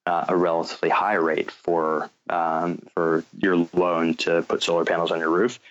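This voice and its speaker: An American man answering interview questions and explaining a business, often pausing and repeating words as he picks his phrasing. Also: low-pitched, flat delivery, lo-fi sound. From North Carolina, United States. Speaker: guest, fintech company founder.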